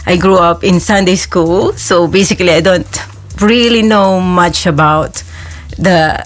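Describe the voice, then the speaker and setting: A woman's voice: fairly low pitch, a little slow, soft background music. A woman with a Filipino accent, a guest in a radio interview, talking reflectively about growing up. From Philippines. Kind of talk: relaxed interview chat.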